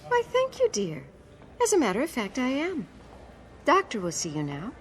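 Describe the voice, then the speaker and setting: An actress playing a solicitous, formal, eager nurse in a radio drama. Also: female voice, sits high, huge pitch swings, moderate pace, a little hiss or hum. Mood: courteous. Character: bright, courteous, eager female nurse.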